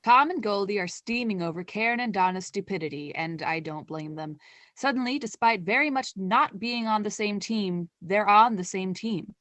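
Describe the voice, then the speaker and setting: A woman's voice: mid-range voice, moderate pace, fairly bright tone, no echo, natural voice. A young woman narrating a radio play in a wry, scripted storytelling style.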